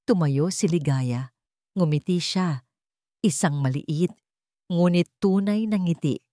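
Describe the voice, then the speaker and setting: Woman, low pitch, scripted drama narration, deliberate delivery, dry close recording. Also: warm tone, no room sound. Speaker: storyteller, narrator. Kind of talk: scripted dramatic story narration in Tagalog radio drama manner.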